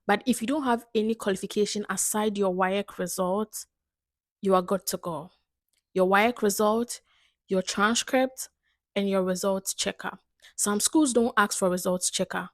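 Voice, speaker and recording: Ghanaian lady doing an explainer video, repeats stuff to stress it, medium pitch, no room echo. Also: clean studio sound. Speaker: video host giving advice to international students. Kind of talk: explanatory talk to camera.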